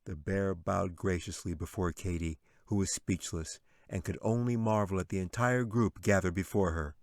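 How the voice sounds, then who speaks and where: A man narrating a fantasy story as an author reading his own audiobook, with dramatic, measured storytelling. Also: low-pitched, dry recording, studio quality.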